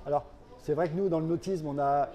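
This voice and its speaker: A man speaking as a company representative in an interview, explaining products in a halting way and repeating words. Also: a little high, steady tone, mellow.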